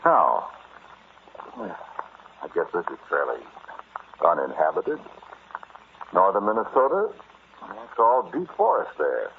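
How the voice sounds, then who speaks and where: A man's voice: deep voice, huge pitch swings, takes it slowly, creaky, long pauses, narrow, tinny audio, a little hiss or hum, heavily acted. An actor in 1940s radio drama style playing a domineering, taunting madman who gloats over his power to destroy, on an old radio recording. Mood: gloating, menacing. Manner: domineering, taunting, chuckling menace. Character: deranged, gloating man wielding power to destroy the world.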